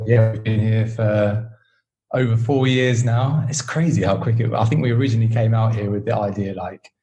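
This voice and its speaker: Relaxed low-voiced British man. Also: moderate pace. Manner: relaxed, rambling, many fillers. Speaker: podcast host, interview guest. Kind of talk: casual chat.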